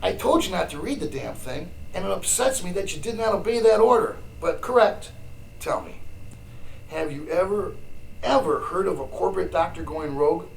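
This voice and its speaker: Sardonic underground mentor, gravelly, higher-pitched, brusque folksy talk, radio drama. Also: male voice, animated, normal speed, slight background noise, rough recording. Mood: dry, insistent. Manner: folksy slangy, wry, brusque. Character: gruff, sardonic older underground rebel mentor.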